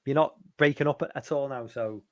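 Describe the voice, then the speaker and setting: A man's voice: mid-range voice, fairly quick, no echo, clean studio sound. A man in his forties with a Liverpool accent hosting a casual stream, rambling and restarting his words. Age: 40s. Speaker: stream host. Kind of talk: casual chat.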